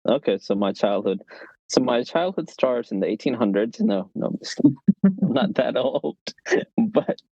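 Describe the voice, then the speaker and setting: A young man from Pakistan, a tech founder and podcast guest, explaining things in a relaxed way and joking about himself, recorded on a phone. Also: mid-range voice, normal speed, vocal fry, dry recording. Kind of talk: casual interview storytelling. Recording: dull lo-fi, dry sound.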